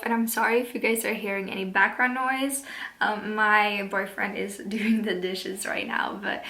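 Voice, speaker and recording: A woman's voice: medium pitch, gravelly, sharp tone. A Swedish woman in her twenties talking to camera at home in English, nervous and giggly, with many fillers and restarts. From Sweden. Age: 20s. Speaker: vlogger. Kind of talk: casual talk to camera. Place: home, indoors.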